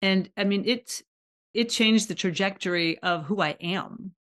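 A woman's voice: mid-range voice, a little slow, a bit bright. Chatty enthusiastic woman podcast host. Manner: chatty, enthusiastic, laughs readily. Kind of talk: conversational interview.

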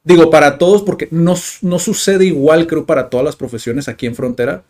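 A man's voice: fairly high pitch, a little fast, a little mellow. Chatty man hosting a podcast. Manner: chatty, loose, restarting phrases with fillers. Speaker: podcast host. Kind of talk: casual conversational interview.

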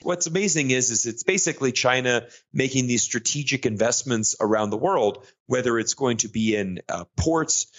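A man's voice: medium pitch, expressive, normal speed, hardly any pauses, a bit bright. An American man being interviewed, talking enthusiastically with frequent restarts and fillers. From United States. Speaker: guest analyst. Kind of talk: conversational interview. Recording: muffled lo-fi audio.

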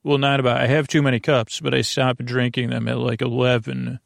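A man hosting a bedtime podcast in a creaky, lulling voice, meandering hesitantly through tangents. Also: medium pitch, little pitch movement, brisk, no echo, studio quality. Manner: hesitant, digressive, trailing off into tangents; lulling, soothing. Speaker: host of a bedtime sleep podcast. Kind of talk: rambling, meandering bedtime monologue.

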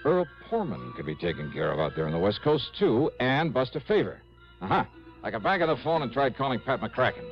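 An actor playing a wry, harried American insurance investigator with a colloquial, dramatic delivery in a 1960s radio detective drama. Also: male voice, medium pitch, sing-song, a little fast. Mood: confiding, wry. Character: brisk, harried, loyal male insurance investigator. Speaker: lead and narrator. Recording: muffled old radio, music underneath.

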